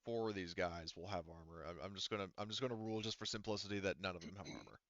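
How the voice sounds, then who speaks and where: A man's voice: low-pitched, quick pace, fairly bright tone, no echo, lo-fi sound. A man speaking casually as a game master in a tabletop roleplaying game, thinking aloud and swearing now and then.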